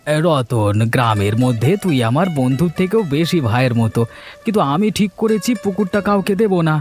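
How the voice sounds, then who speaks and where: Man playing a mild, hesitant villager, highish voice. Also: animated, fairly quick, a little mellow, lightly acted. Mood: mildly refusing. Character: simple, hesitant, trusting village husband. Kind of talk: Bengali cartoon story voice acting. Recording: music bed under the voice.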